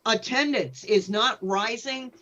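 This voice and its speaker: A woman's voice: on the high side, takes it slowly, fairly bright tone, muffled recording. A woman speaking as a historian on a panel, explaining informally and with emphasis.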